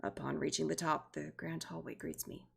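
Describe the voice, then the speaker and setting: A woman's voice: deep voice, fairly even intonation, normal speed, vocal fry, husky. A woman streamer reading a visual novel aloud, expressively and theatrically, with joking asides. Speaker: streamer, virtual YouTuber.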